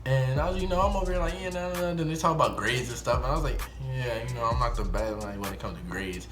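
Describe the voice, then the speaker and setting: A man's voice: mid-range voice, quick pace. Young American man telling a story over music. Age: young adult. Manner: animated, slangy, re-enacts dialogue. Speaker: YouTube storyteller. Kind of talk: casual first-person storytime vlog.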